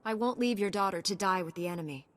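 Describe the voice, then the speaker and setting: An actress playing a calm, resolute female knight in a video game, speaking in a firm, matter-of-fact way. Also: medium pitch, quick pace, lightly acted.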